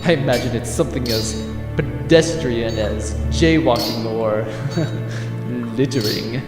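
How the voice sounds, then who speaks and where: A man's voice: medium pitch, takes it slowly, natural voice. A man playing a haughty, scheming space major in a comedic sci-fi audio drama, pompous and sarcastic. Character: haughty male space-major rival, grudging, scheming rogue. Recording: echoey reverberant room, music underneath.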